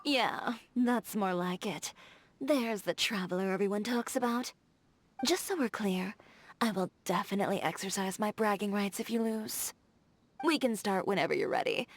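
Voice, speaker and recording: A woman's voice: medium pitch, moderate pace, no room sound, lightly acted. A woman voicing a confident, blunt young fashion designer in a video game, direct and playfully teasing. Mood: teasing, competitive. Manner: direct, self-assured, teasing. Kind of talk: scripted video game character dialogue. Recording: background music.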